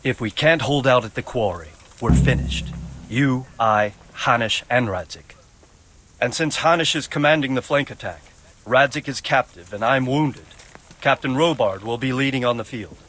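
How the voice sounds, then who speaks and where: An actor playing a stern, authoritative nobleman leading men in war, in a measured, firm way for a video game. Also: mid-range voice, a bit bright, lightly acted. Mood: stern instructing. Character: stern, commanding medieval nobleman and wounded war leader. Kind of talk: dramatic video game cutscene acting. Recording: music behind the voice.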